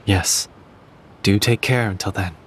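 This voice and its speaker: A man's voice: low voice, measured pace, a little hiss or hum. An actor playing a composed, courteous, secretive man in a video game dub, speaking formally and with measure. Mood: composed, guarded.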